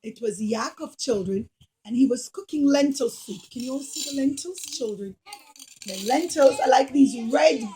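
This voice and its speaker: A woman's voice: sits high, expressive, moderate pace, mellow, slight background noise, poor audio. A woman telling a puppet-show story to children in a teacherly way, addressing them directly with dramatic emphasis.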